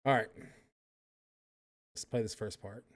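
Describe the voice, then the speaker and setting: Middle-aged male stream host, lowish voice, casual, rambling, self-correcting. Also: fairly even intonation, measured pace. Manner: rambling, frequent restarts and fillers. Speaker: stream host reacting to a podcast. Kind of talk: casual personal commentary.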